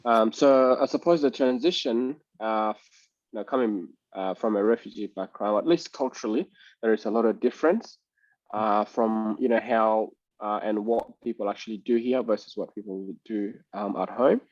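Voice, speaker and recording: Low-voiced man, muffled and dry. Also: darkish tone, no echo. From Sudan. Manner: measured and reflective, pausing to gather thoughts. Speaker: interview guest. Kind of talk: casual interview answers.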